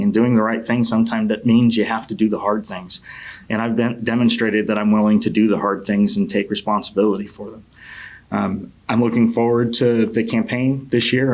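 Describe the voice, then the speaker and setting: A middle-aged man from Connecticut speaking as a city council candidate in an opening statement, halting and earnest in a measured way. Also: fairly low pitch, a little mellow, poor audio. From Connecticut, United States.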